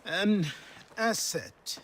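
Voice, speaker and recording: An actor playing a stern, wise old mentor with a dry, authoritative manner in a video game. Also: male voice, high voice, sing-song, drawn-out words, a bit bright, a little hiss or hum, heavily acted. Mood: matter-of-fact. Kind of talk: video game cutscene acting.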